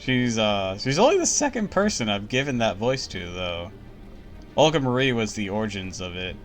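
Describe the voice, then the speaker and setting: A man streaming a game, chatting casually, blunt and quick to laugh, with faint game music behind. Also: on the low side, big pitch swings. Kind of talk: casual gameplay commentary. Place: home streaming setup.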